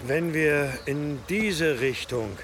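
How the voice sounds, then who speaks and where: An actor playing a frail, anxious, learned elderly abbot in a German audio drama, speaking haltingly with gasps. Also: male voice, a little high, a bit bright, a little hiss or hum. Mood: hushed, shaken. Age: older. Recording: long cave-like reverb, music underneath.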